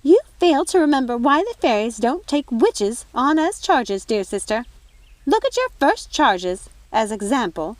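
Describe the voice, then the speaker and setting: A woman's voice: sits high, very animated, measured pace, dry recording, pitched above the performer's own voice. A woman voicing a haughty, indignant fairy godmother in a storybook, with an emphatic, scolding manner. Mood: disdainful.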